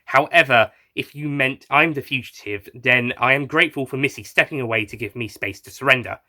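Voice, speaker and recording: Wry sci-fi hero, voiced by a man: flustered, politely exasperated, bright medium-pitched voice. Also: fairly quick. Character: wry, flustered male time-travelling alien hero.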